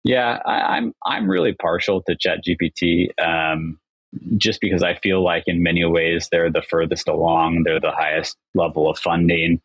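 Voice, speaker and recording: A podcast guest with a low, husky voice. He talks with upbeat enthusiasm in long, flowing sentences. The sound is dry and close-miked. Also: moderate pace, a bit bright, no echo, rough recording. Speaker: agency founder, podcast guest. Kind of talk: casual interview chat.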